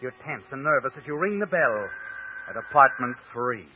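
An actor narrating an old-time radio suspense story in the second person as a knowing, ominous storyteller. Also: male voice, medium pitch, animated, normal speed, noisy background, close to an everyday voice. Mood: ominous, suspenseful. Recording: old radio show, phone-like, music behind.

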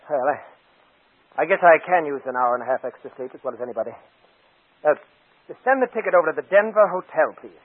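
Husky, scheming cold man, old radio. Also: a little high, animated, fast, long pauses, no echo. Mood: businesslike. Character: smooth-scheming, cold, vengeful man plotting murder. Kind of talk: 1940s radio drama acting. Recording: tinny old radio broadcast recording.

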